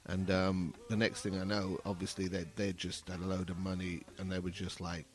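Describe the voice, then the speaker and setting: A man in his fifties from England, recalling things as an interview guest in a halting, reflective way. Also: deep voice, completely flat delivery. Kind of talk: casual interview recollection.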